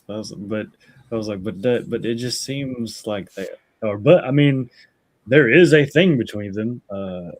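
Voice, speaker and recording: A man's voice: mid-range voice, a little mellow. A man co-hosting a podcast, talking casually about a book and often stopping to search for his words. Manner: halting, searching for words with restarts. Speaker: podcast co-host. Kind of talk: casual book-club chat.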